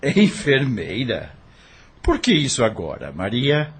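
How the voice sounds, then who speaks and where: An actor playing a soft-spoken, worried middle-aged doctor talking tenderly with his daughter in an audio drama. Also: medium pitch, wildly swooping pitch, takes it slowly, breathy edge, heavily acted. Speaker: father in radio drama.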